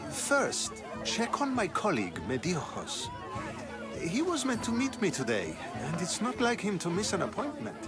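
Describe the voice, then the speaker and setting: An actor playing a commanding, cautious statesman of ancient Athens in a video game, speaking formally and deliberately. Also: sits high, wildly swooping pitch, over music, a crowd in the background. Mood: businesslike.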